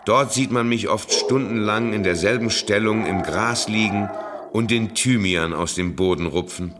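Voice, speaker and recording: An actor playing a dreamy, eager-to-please nephew who tells of his childhood in measured, literary radio drama narration. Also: fairly low pitch, noisy background, natural voice.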